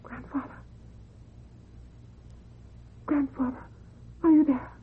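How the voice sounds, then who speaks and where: An actress playing a lonely, fragile office worker, childlike and devoted, earnest and emotional, in a radio suspense drama. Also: female voice, high voice, fairly even intonation, slow pace. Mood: confiding. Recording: thin, narrow old radio recording.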